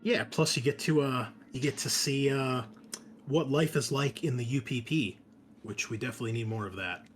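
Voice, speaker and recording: A man's voice: medium pitch, normal speed, soft background music. A man hosting and running a tabletop game session, chatting casually and welcoming his players in a friendly way. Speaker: game master and show host.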